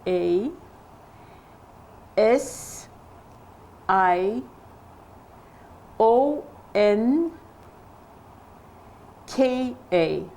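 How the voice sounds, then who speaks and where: An older woman, giving an oral history interview, halting and searching for English words. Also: mid-range voice, animated, creaky, mellow.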